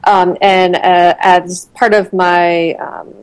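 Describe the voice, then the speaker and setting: A woman's voice: fairly low pitch, flat delivery, takes it slowly, clear, resonant tone, no echo. A woman in her thirties from Connecticut, a guest chatting casually in an interview, with lots of fillers and restarts. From Connecticut, USA.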